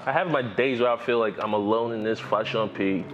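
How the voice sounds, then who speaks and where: A man's voice: lowish voice, brisk, dull audio, a loud crowd. A man from Nigeria co-hosting a casual podcast chat, talking in playful slang and laughing often. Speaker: podcast co-host.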